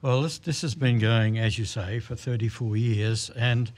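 A man guesting on a radio show talks about his choir. He speaks hesitantly, filling pauses with ums and restarting his sentences. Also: mid-range voice, fairly even intonation, darkish tone. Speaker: choir spokesman.

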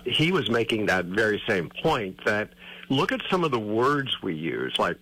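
A man with a husky, sharp-edged voice rambles thoughtfully through a casual radio chat, leaning on "you know" as he goes. He sounds like a chatty co-host working out his ideas. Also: fairly low pitch, poor audio. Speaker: co-host, newsletter writer. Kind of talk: casual conversation.

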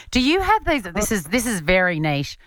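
An Australian woman with a low-register voice, hosting a casual radio chat show and laughing often. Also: big pitch swings, moderate pace, a bit bright, dry recording. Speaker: radio host.

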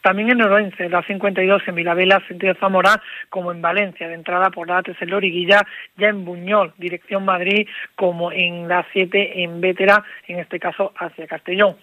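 A man giving a radio traffic report, listing trouble spots on the roads in steady order. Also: high-pitched, fairly quick, no room sound. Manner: steady listing of road points. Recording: phone-like narrow band.

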